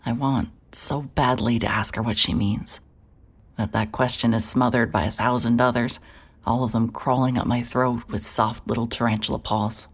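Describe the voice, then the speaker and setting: A woman playing a weary, introspective private investigator in a horror audio drama, guarded and quiet in manner. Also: rumbling low, steady tone, moderate pace, husky, full character voice. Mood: brooding, wistful. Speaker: narrator and lead character. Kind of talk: scripted first-person noir horror audio drama narration.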